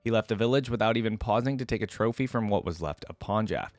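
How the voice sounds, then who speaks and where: A man with a fairly low voice hosts a reaction video in a casual, conversational way. He moves between reading text aloud and dropping in his own asides. The sound is very dry and close, with no room echo. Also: lively intonation, fast talker, soft background music.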